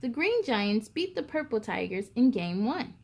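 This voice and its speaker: A woman's voice: fairly high pitch, big pitch swings, measured pace, creaky. A woman hosting a read-aloud for young children, telling picture-book stories expressively and speaking straight to her listeners.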